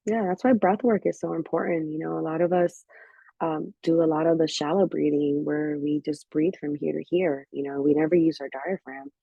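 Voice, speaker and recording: A woman's voice: low-pitched, steady tone, normal speed, creaky, slightly rough, no echo. A woman who works as an acupuncturist, speaking as a podcast guest and explaining things earnestly, often restarting her phrases. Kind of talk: explanatory conversational chat. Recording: dull lo-fi dry sound.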